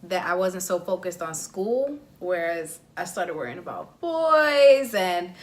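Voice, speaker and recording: A woman's voice: lowish voice, very animated, a little slow. A young woman, talking candidly as an interview guest, laughing often and dropping "like" and "you know" between phrases. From New York. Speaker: interview guest, makeup artist. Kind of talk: casual interview chat.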